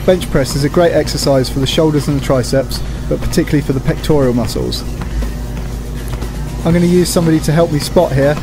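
A man's voice: a little high, fast talker. A middle-aged man narrating an instructional exercise video in a plain, practical, explanatory way. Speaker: presenter demonstrating gym exercises. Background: music.

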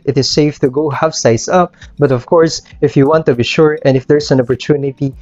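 A man's voice: mid-range voice, darkish tone. Man reviewing sneakers over music, dull audio. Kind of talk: scripted product review narration. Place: small indoor room with sneaker shelves. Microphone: clip-on lapel mic. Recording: muffled lo-fi audio, music underneath. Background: background music.

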